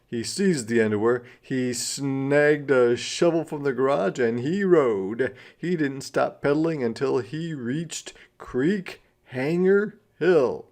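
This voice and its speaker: Male storybook narrator, medium pitch, markedly slow delivery, dramatic pauses and lingering emphasis. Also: lively intonation, darkish tone. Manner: dramatic pauses, drawn-out key words. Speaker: storybook reader. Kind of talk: children's read-aloud narration.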